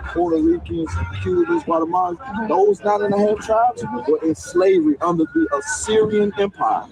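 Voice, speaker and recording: A man's voice: very high-pitched, animated, normal speed, creaky, crowd noise, poor audio. A man preaching in a heated street debate, insistent and repeating his phrases to hold the floor.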